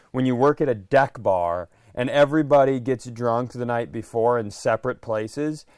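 A man's voice: on the high side, no echo, clean studio sound. A man co-hosting a podcast, rambling through stories and opinions in a wry, casual way. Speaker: podcast co-host. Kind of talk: casual comic chat.

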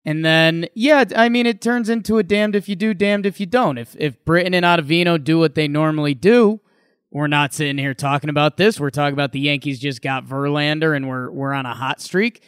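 A man's voice: a little high, fairly quick, a bit bright, clear, resonant tone, dry recording, studio quality. An American man from Connecticut chatting casually and easily about sports as a podcast co-host. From Connecticut, United States.

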